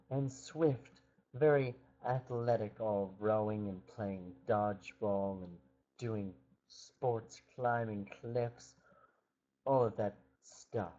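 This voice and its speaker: A man's voice: mid-range voice, dark tone. Man telling an improvised fairytale, slightly muffled. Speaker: storyteller.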